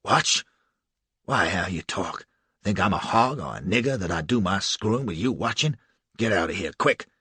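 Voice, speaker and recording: A man voicing a brutish, leering slave catcher with a coarse, gruff drawl in an audiobook. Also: low voice, lively intonation, moderate pace, pushed and bright, no room sound, pitched below the performer's own voice, exaggerated voice.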